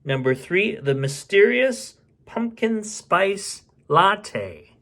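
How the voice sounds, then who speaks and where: A man's voice: high-pitched, expressive, drawn-out words, fairly bright tone. A man reading a storybook aloud expressively, with casual muttered asides as he goes. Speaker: bedtime story reader.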